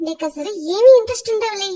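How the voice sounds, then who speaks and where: A woman's voice: very high voice, expressive, rapid-fire, mellow. A woman voicing a young college girl in love, insistent with those close to her, in a cartoon story. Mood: nagging. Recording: music behind the voice. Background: music.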